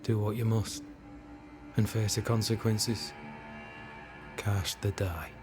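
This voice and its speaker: A man's voice: on the low side, fairly even intonation, slow, over music. A man playing a proud, self-righteous military captain in a game cutscene, with a solemn, theatrical delivery. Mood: defiant. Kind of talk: dramatic game cutscene acting.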